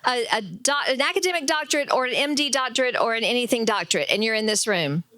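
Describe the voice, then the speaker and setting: A woman's voice: a little high, animated, fast, sharp tone, dry recording, clean studio sound. A woman hosting a live event and interviewing guests informally, in a playful, encouraging way.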